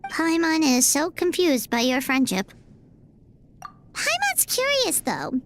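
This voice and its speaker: A woman voicing a small, childlike floating companion in a video game, squeaky, cheeky and inquisitive, speaking of herself by name. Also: wildly swooping pitch, takes it slowly, background noise, exaggerated voice. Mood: puzzled, curious. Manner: exclamatory, inquisitive, refers to self by name.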